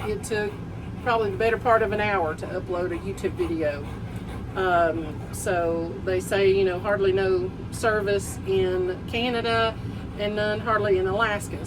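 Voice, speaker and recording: A woman's voice: medium pitch, far from the mic, background noise. An older woman from Tennessee with a strong Southern accent, chatting casually on a travel vlog with many ums. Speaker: vlog co-host.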